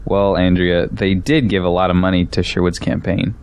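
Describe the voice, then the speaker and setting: Deep young male voice, defensive, pragmatic, argumentative actor's role, audio drama. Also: level tone, normal speed, darkish tone, slight background noise. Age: young adult. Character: defensive, pragmatic young man arguing compromise.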